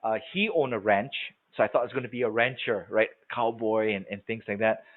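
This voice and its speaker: A man's voice: fairly low pitch, a little fast. Man from Singapore on a tinny line. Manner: conversational, frequent check-ins with listeners. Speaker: sales trainer. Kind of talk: live webinar training talk. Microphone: video call. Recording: tinny phone-like audio.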